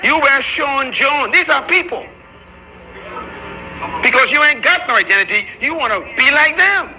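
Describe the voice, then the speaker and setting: A man's voice: very high voice, animated, normal speed, sharp tone, low-quality recording. A man preaching a sermon to a room full of people, emphatic and rhythmic. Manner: emphatic, preaching to a live crowd. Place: large room with an audience.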